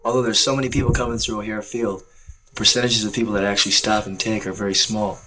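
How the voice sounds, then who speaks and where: A young man speaking earnestly and calmly about selling books to travelers, with faint hiss from old film audio. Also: lowish voice, fast talker, rough recording. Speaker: book distributor. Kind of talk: reflective commentary over footage.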